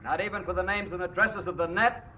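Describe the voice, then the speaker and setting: A man's voice: sits high, quick pace, sharp tone. An actor playing a cocky, cold gunman with a sneering, mock-friendly manner in an old-time radio drama. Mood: bargaining. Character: cocky gun-wielding male villain, mocking and cold. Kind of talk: 1940s radio drama acting. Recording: muffled, noisy old radio recording.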